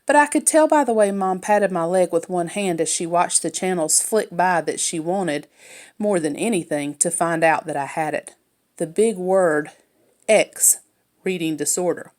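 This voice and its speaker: A woman's voice: lowish voice, normal speed, darkish tone. A woman reading a children's novel aloud as a first-person narrator.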